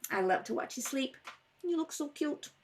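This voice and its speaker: Woman as a teasing wife, wheedling chatter, lively intonation, radio comedy-drama, distant home recording. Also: sits high, normal speed, slight background noise. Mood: coaxing, teasing. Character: wakeful, coaxing, teasing wife. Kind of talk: old-time radio comedy-drama acting. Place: home kitchen table.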